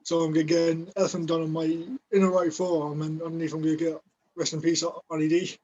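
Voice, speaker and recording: A man's voice: on the high side, level tone, fast, dark tone, dull audio. A young man of about twenty talks over a video call through a laptop mic. His voice sits a little above the usual male range. He speaks in short, plain statements. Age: 20s. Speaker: guest wrestler. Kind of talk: casual video-call chat.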